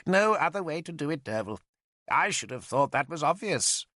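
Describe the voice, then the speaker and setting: A blind, rambling old druid for an audiobook; high-pitched, swooping, emphatic, easily indignant. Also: male voice, very animated, moderate pace, pushed and bright, dry recording, clean studio sound, exaggerated voice. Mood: matter-of-fact, scornful. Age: older.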